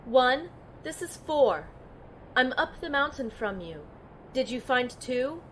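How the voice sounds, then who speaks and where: A woman's voice: high voice, very animated, slow, a little hiss or hum, heavily acted. A young woman playing a determined field medic and operative, narrating her mission in the first person for an audio drama. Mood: anxious, resolute.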